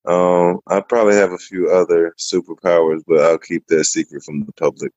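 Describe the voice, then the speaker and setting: Male guest, deep, relaxed measured delivery, dry muffled remote audio. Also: no echo. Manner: easygoing, measured, with frequent pauses. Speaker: podcast guest. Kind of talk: casual interview answers.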